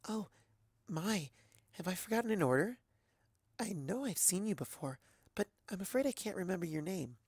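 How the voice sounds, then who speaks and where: A performer voicing a timid, gentle female pegasus seamstress in a storybook reading, soft, quiet and hesitant. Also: mid-range voice, expressive, normal speed, pauses often, breathy edge, no echo, clean studio sound, voice pushed up. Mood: shy, apologetic. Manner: hesitant, apologetic.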